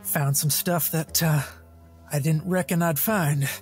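A man voicing a gruff, blunt rogue who talks in short, halting phrases in a tabletop game. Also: a little high, steady tone, soft background music, close to an everyday voice. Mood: gruff, guarded.

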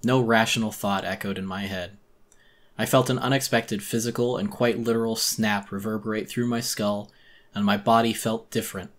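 Bright, intense male horror narrator. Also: fairly low pitch, fairly even intonation, normal speed. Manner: theatrical. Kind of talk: dramatic reading of a first-person horror story.

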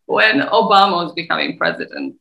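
A woman's voice: fairly low pitch, wildly swooping pitch, fairly quick, vocal fry, pushed and bright, poor audio. Female interviewer, thirties, from East Africa. Conversational, reflective, tells anecdotes on a video call. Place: indoors, plain room, video call.

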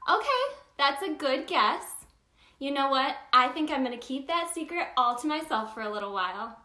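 A woman playing a cheerful Tooth Fairy who hosts children's story time, talking straight to young listeners in an animated, sing-song way in a roomy, echoing space. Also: high-pitched, normal speed. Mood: playful, wondering.

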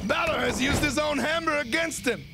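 An actor playing a gleeful, theatrical villain who commands monsters and gives melodramatic, sinister commentary on a wrestling match in a video game. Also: male voice, very high-pitched, very noisy. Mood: gloating, dramatic. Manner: melodramatic, sinister, cackling, drawn-out exclamations.

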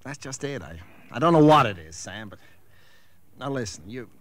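A male actor plays a troubled real estate man in an old 1940s radio play. His medium-pitched voice stumbles and hesitates as he earnestly confides. The sound is close and dry. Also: animated, moderate pace, dry recording, close to an everyday voice. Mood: uneasy, hesitant. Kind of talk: 1940s radio drama acting.

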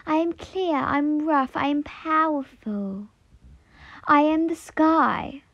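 A free, proud spirit of air played by a woman speaks in a fairly high voice at a very slow pace. The delivery is poetic and deliberate, built from short declarations, gentle yet sure of its own power. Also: highs cut off. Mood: light, airy. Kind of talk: poetic first-person dramatic monologue.

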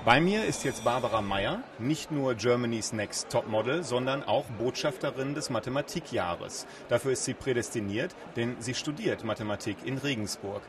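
A man's voice: mid-range voice, animated, normal speed, few pauses. A man working as an interviewer, introducing guests and putting questions to them in a prepared, formal way. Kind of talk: broadcast-style interview questions. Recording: noisy event ambience with traffic.

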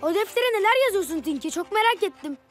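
A young boy adventurer in a children's cartoon. The voice is very high, inquisitive and expressive, with clear music underneath. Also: fast talker. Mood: eager, curious. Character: eager, curious young boy explorer.

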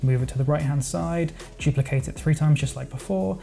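A man narrating a software editing tutorial in a relaxed, chatty way, thinking aloud as he goes. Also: on the high side, a little fast, vocal fry. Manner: casual, explanatory, thinks aloud with verbal sound effects. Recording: voice-over with music playing underneath.

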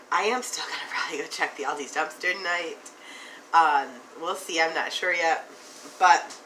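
A woman's voice: on the low side, sharp tone, far from the mic. A woman in her thirties chatting informally and reassuringly to her phone in a casual home vlog. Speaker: vlogger. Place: home kitchen, indoors. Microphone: phone at arm's length. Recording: noisy home phone recording.

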